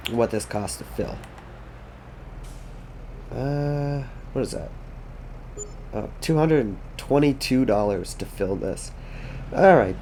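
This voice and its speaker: A man's voice: medium pitch, background noise. A congested, sniffly man giving rambling, casual commentary while playing a farming game, thinking aloud to himself and his chat. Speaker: gaming streamer.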